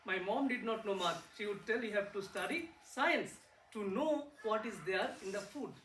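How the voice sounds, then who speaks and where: A man's voice: high-pitched, moderate pace, fairly bright tone. A man with an Indian accent speaks to a room of children, keeping his words simple and often asking the kids questions. The sound is roomy and distant, with a faint hiss behind him. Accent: Indian English. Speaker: physics researcher giving a talk to children. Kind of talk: classroom talk to kids. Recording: echoey room, far from the mic, faint noise.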